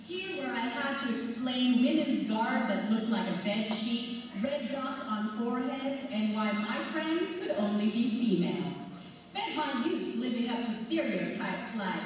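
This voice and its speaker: A woman performing spoken word poetry, her voice slightly high. She recites in a measured, emphatic way. She is distant from the mic, and the hall echoes at length over a faint hiss. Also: animated.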